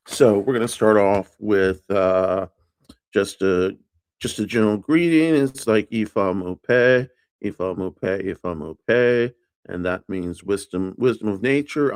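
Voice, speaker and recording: A man with a very deep voice talks slowly through an early-morning livestream. He hesitates often, fills gaps with ums and uhs and restarts his words. The sound is close and dry, with no room around it. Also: dry recording. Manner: halting, many fillers and restarts; unsure with names and pronunciations. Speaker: livestream host. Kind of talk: casual livestream chat.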